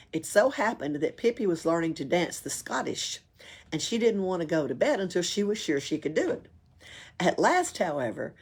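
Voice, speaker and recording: A woman's voice: fairly low pitch, husky. A woman reading a children's book aloud expressively, as at a library storytime.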